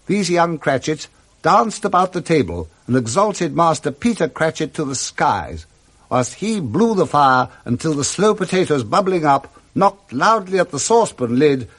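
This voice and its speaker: Man, British accent, radio drama narrator, medium pitch, scripted storytelling, expressive measured phrasing.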